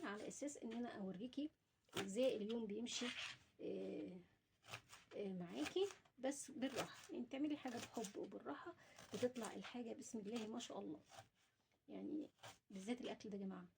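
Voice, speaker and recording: Fast-talking, higher-pitched Egyptian woman. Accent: Egyptian Arabic. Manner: instructive, chatty, addressing viewers directly. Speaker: home cooking vlogger. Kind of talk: casual cooking demonstration, chatting to viewers. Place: at home while preparing food. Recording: clearly noisy home recording with clicks.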